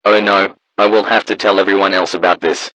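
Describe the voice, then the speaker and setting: A man acting as a grumpy man roused at night in a phone skit. The voice is low and sharp, stiffly delivered, with a very dry sound. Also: flat delivery, a little fast, no echo, rough recording. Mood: concerned. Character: grumpy sleepy man woken by a phone call. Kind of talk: scripted phone-call skit.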